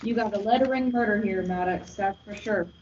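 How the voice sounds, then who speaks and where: A woman playing an offhand, deadpan old morgue doctor in a comic radio play. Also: mid-range voice, moderate pace, close to an everyday voice. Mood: blasé. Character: blasé old morgue doctor, fond of eating at work. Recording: roomy, muffled, noisy recording.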